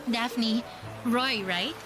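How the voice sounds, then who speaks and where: A woman's voice: a little high, extremely slow, over loud music. An actress playing a young woman working at a call center, bantering in a radio drama. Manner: lively, bantering. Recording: radio drama with background noise.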